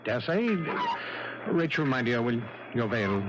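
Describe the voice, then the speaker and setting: A man's voice: medium pitch, animated, unhurried, natural voice. An actor playing a fussy, secretive man who snaps at others and stammers when cornered, in a 1970s radio drama. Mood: nervous, evasive. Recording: dull old radio broadcast with hiss.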